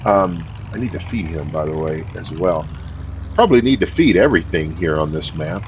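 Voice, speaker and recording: A man hosting a farming game, talking casually in a deep morning voice, easygoing and rambling with many fillers. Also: lively intonation, moderate pace, muffled recording, background noise. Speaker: game host. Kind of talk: casual gameplay commentary.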